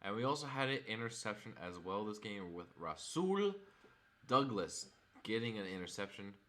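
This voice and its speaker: A hoarse young man, a frustrated fan, recapping a football game and reading off stats in a casual vlog. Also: lowish voice, sing-song, normal speed, a bit bright. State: hoarse from screaming.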